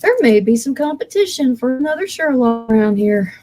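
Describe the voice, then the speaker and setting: A woman with a notably high voice speaks as a podcast co-host. She makes casual announcements to her listeners in a panel-talk setting. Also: animated, brisk, a little mellow.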